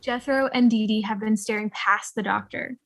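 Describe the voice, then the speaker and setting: Woman reading stage directions, lots of vocal fry, amateur script read. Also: mid-range voice, fairly even intonation, moderate pace, a bit bright, rough recording. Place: remote video call.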